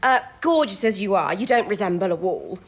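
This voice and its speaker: An actress playing an eager, mischievous nineteen-year-old British codebreaker in a period audio drama, polite, earnest and excitable. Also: female voice, medium pitch, brisk, a little hiss or hum, lightly acted. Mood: playful, excited. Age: young adult. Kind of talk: period radio drama acting.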